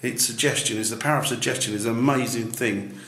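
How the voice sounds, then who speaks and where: Loud booming man in an echoey building. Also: quick pace. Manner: musing, skeptical. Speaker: ghost-hunting YouTuber. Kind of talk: casual on-location chat. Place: large echoey building, a former jail, at night. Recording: echoey room with faint noise.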